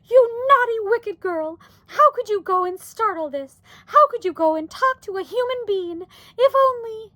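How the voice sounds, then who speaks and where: Woman putting on a high-pitched, fretful, wailing storybook mother. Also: very animated, a little slow, few pauses, a bit bright, no room sound, full character voice. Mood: wailing, scolding. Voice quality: whimpering, wailing. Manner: folksy ungrammatical speech. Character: anxious tearful fretting mother, excitable and scolding. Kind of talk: storybook read-aloud.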